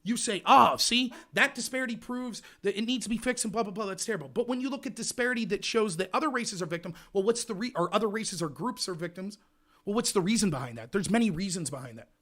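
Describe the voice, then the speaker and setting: A man hosting a live political show, ranting in a loud, indignant voice. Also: high voice, lively intonation, fast. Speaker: livestream show host. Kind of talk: heated political commentary.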